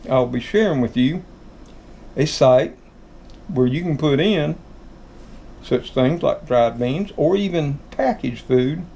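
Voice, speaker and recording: Southern American man, middle-aged, gravelly and slightly high; folksy home-kitchen host, faint room noise. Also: expressive, takes it slowly, long pauses, warm tone. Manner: folksy, addresses viewers as folks. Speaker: channel host. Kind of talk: casual how-to talk.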